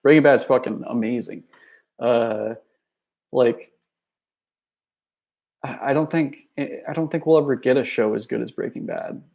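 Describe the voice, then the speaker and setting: A man's voice: mid-range voice, unhurried, creaky, long pauses, dull audio. A young man chatting casually on a livestream, rambling with lots of fillers and restarts, and reading out viewer messages. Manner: reads viewer chat messages aloud. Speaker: youtuber.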